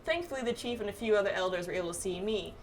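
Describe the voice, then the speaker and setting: A woman's voice: mid-range voice, rapid-fire, noisy background, lightly acted. Woman reading as a wistful young fantasy clanswoman, slightly distant. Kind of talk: reading game dialogue aloud.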